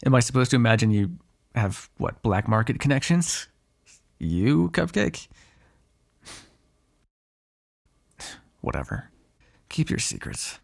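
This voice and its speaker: A man's voice: mid-range voice, animated, normal speed, vocal fry. A man playing a sardonic, foul-mouthed vampire on the run in an ASMR roleplay, talking in a conversational, exasperated way. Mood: teasing, skeptical.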